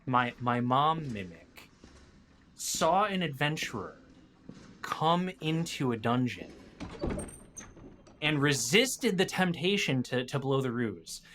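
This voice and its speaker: A man's voice: a little high, fairly bright tone, slight background noise. A man chatting casually as a podcast guest, thinking aloud and telling improvised stories about game monsters.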